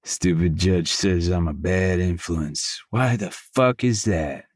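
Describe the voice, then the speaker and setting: A man performs a menacing, middle-aged drunk for an audiobook. His voice sits low, and the words come out slurred and growled. He sounds bitter, crude and spoiling for a fight. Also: slow, dry recording, studio quality. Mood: angry. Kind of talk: audiobook character dialogue.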